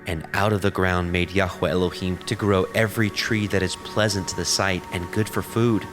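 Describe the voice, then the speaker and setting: A man narrating scripture in a steady, reverent reading. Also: deep voice, moderate pace. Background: background music.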